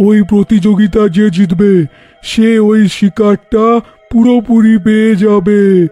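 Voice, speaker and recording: A man's voice: high voice, steady tone, slow pace, dark tone, soft background music. A man voicing the commanding chief of ghosts and witches in a horror cartoon, pronouncing rulings with authority. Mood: solemn, commanding.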